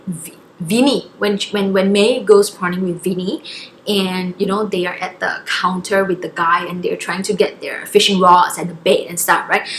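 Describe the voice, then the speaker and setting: A woman's voice: medium pitch, roomy sound, slight background noise, poor audio. A woman from Singapore, chatting casually to camera with many fillers and self-corrections. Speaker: vlogger.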